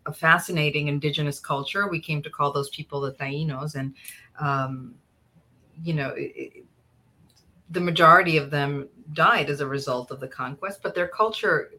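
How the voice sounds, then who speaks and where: A woman's voice: low voice, pushed and bright. A middle-aged woman, explaining things conversationally as an academic interview guest. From United States, in a Cuban immigrant community. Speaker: interview guest, history professor and author.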